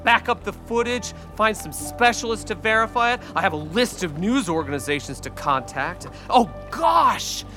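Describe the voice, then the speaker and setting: Excitable high-pitched brother. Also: male voice, moderate pace, few pauses, faint music behind, heavily acted. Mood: flustered. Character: excitable, scatterbrained UFO-enthusiast brother. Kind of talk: family audio drama acting.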